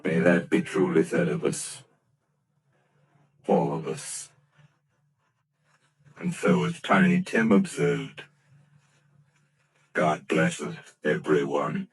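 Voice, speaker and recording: Man narrating a classic tale slowly. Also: extremely low pitch, lively intonation. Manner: measured storybook reading. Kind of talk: scripted narration.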